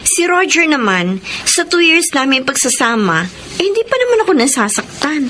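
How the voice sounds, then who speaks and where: A woman's voice: sits high, sing-song, moderate pace, bright, forceful tone, heavily acted. An actress with a Filipino accent playing an anxious, wounded, pleading young live-in girlfriend in a radio melodrama. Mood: defensive. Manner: emotional, pleading. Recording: clear background noise on broadcast.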